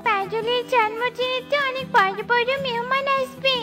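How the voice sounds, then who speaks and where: Young cartoon bird girl, extremely high voice, sweet, earnest, music clearly behind. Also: steady tone, normal speed. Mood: earnest, wistful. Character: sweet young female bird Tuki, innocent and polite. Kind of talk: children's cartoon voice acting.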